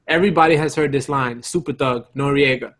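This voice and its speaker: A man chatting casually as a podcast co-host, excitable and often repeating his phrases. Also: medium pitch, brisk. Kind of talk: casual comedic group chat.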